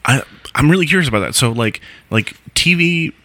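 A man's voice: fairly high pitch, lively intonation, brisk, fairly bright tone, no echo. A man hosting a podcast interview, chatting casually with plenty of filler words. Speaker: podcast host.

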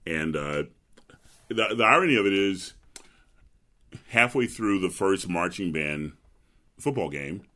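Deep resonant bass-voiced man. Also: lively intonation, a little slow, pauses often, pushed and bright. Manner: chatty storyteller, laughs easily. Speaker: opera bass, guest. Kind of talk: relaxed interview chat.

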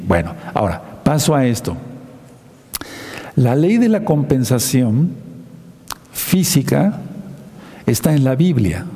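A man preaching a sermon, teaching slowly and repeating his key phrases for emphasis. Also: medium pitch, gravelly, warm tone, in a large hall.

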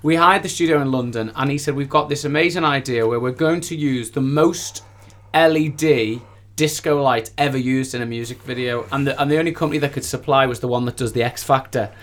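A man's voice: mid-range voice, brisk, fairly bright tone. A man speaking as a musician guest, telling anecdotes in a casual, gushing, enthusiastic way. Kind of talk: casual anecdotal interview chat.

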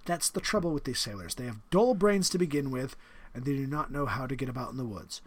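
A man voicing a suave, menacing aristocratic hunter in a read-aloud story, with genteel, relishing emphasis and a husky voice. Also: mid-range voice, animated, fast talker, no room sound, close to an everyday voice.

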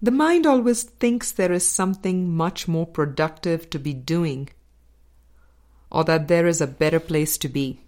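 Woman, audiobook reader, slightly low pitch, very slow pace, deliberate pauses, scripted spiritual narration. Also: a little mellow.

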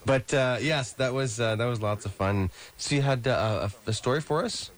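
A man hosting a casual radio real estate show in studio, friendly and halting, a bit hoarse from losing his voice. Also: medium pitch. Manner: friendly, many fillers and restarts.

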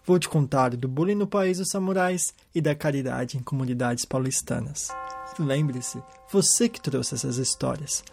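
Male host, calm scripted storyteller, mellow tone, faint music bed. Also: medium pitch, normal speed, gravelly, husky. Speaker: podcast host. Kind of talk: scripted narration. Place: lives in Japan.

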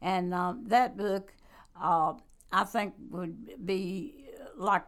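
A woman, talking slowly in a casual interview as a guest and author, with many pauses and fillers. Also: medium pitch, fairly bright tone. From North Carolina. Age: 90s. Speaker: guest, church member and author.

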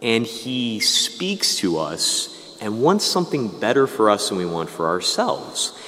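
A man giving a Sunday homily as parish priest, chatty and quick to joke at his own expense. His voice sits low but swings widely in pitch. The sound rings with the long reverb of a church. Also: sing-song, pushed and bright. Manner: chatty, self-deprecating, joking.

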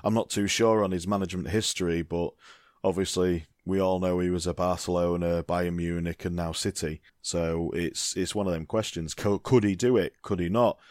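A man's voice: low voice, fairly even intonation, normal speed, a little mellow, dry recording, studio quality. A man co-hosting a casual football chat, rambling and leaning on "you know, I mean" as he goes. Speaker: football podcast co-host.

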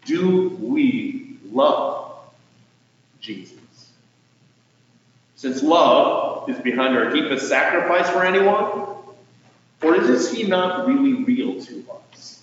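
A man preaching a sermon as a pastor, speaking in a measured, earnest way with emphatic swells and soft endings to phrases. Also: a little high, big pitch swings. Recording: distant mic in echoey hall, dull, faintly noisy.

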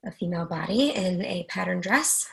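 A woman's voice: lowish voice, expressive, moderate pace, creaky, fairly bright tone. A woman playing a sensitive, anxious office worker in a play reading, with a fretful, hesitant delivery. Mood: hesitant, recording.

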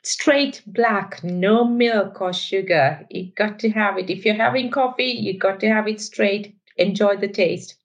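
A woman's voice: medium pitch, measured pace, hardly any pauses. Sri Lankan-accented woman executive guest. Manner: thoughtful, frank, with hesitant fillers. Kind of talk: podcast interview.

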